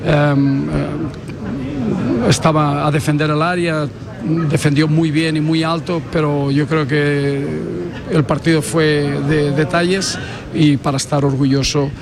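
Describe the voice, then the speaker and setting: A man who coaches a national football team, giving a post-match interview in a halting, measured way and often searching for words. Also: on the high side. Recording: crowd noise behind.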